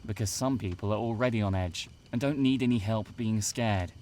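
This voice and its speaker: A man playing a dry, matter-of-fact, deadpan teenage camp counselor in an audio drama. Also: lowish voice, normal speed, slight background noise, natural voice.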